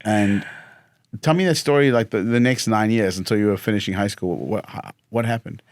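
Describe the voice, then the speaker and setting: A man hosting an interview, asking halting questions with many restarts and affirming his guest. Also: on the low side. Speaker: podcast host.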